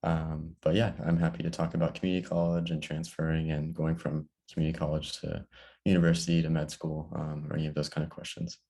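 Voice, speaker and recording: Very deep, flat-toned young man. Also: fast. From small town in North Carolina. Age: young adult. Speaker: panelist, medical student. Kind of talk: casual self-introduction. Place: video call.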